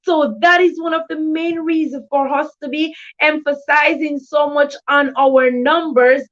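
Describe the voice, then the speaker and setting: High-voiced woman hosting, dull lo-fi audio. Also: fairly even intonation, unhurried. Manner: effusive, repetitive welcoming. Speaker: livestream host of an educational channel. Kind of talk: livestream greeting of chat viewers.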